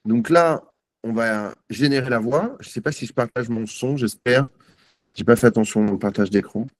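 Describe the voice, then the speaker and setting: A man giving a live software demo on a video call, chatty and self-mocking, thinking aloud with many fillers. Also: medium pitch, moderate pace, no echo. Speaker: guest presenter.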